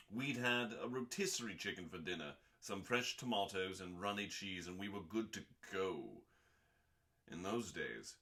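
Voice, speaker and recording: A man hosting a dramatic scripted reading in a deliberate, theatrical manner with pauses and dry, deadpan delivery. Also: deep voice. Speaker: host.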